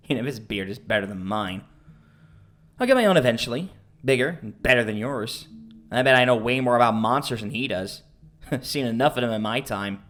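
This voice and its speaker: Bitter young internet troll dude, dramatic and whiny about himself, pitch all over the place. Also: male voice, a bit bright. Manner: stammering, dramatic, self-pitying. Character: bitter, boastful young male internet misogynist, pleading then ranting. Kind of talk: audio drama acting.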